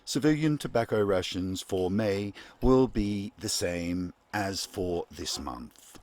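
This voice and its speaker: Man acting as a slow, formal 1940s radio newsreader. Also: deep voice, a little mellow. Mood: grave, formal. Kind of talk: 1940s radio news reading in a radio play.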